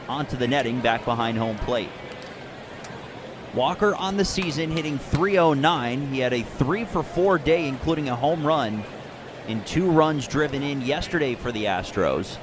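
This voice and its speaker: A male radio play-by-play announcer with a medium-pitched voice, calling baseball in a measured, descriptive way. Clear ballpark ambience runs behind him throughout. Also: background noise. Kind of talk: live radio sports commentary with sponsor reads.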